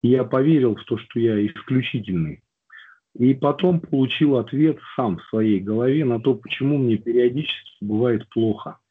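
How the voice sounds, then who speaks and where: A man with a cold reads aloud and then slowly and reflectively tells his own recovery story to a group, with hesitant fillers. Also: medium pitch, husky. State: has a cold. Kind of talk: personal testimony talk. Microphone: video call. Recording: tinny phone-like line.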